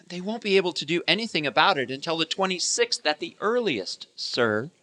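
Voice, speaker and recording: Man playing a meek, bright-voiced, nervous clerk. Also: on the high side, big pitch swings, brisk. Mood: meek, resigned. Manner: hesitant, formally polite. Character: meek, deferential male head clerk, nervously polite. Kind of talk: theatrical radio play acting.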